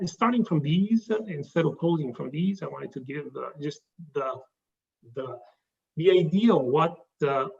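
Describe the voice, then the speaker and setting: Halting Italian-accented man, distant lo-fi mic. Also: medium pitch, gravelly, a little mellow. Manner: halting, many fillers and restarts. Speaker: presenter. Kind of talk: pitch presentation to a live audience.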